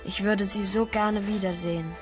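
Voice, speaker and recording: A woman's voice: medium pitch, fairly even intonation, moderate pace, music in the background, natural voice. A woman playing an earnest young boy hero stranded in a fantasy world, in a children's audio drama. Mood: wistful.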